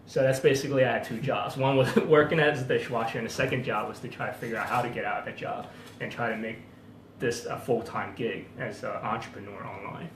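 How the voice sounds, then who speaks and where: Male guest, 30s, frank storyteller, mid pitch, echoey room. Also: fairly even intonation, fast, a little hiss or hum. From Hong Kong, raised in Philadelphia. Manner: easygoing, frank storytelling with "you know". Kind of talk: casual interview.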